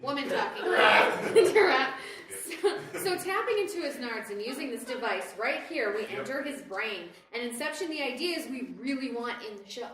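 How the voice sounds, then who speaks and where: A woman's voice: sits high, lively intonation, moderate pace, a crowd in the background. A woman playing a brash, bossy schemer in a live scripted comedy sketch. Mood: brash, scheming. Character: bossy, scheming woman plotting to hijack the show. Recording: echoey room, distant microphone.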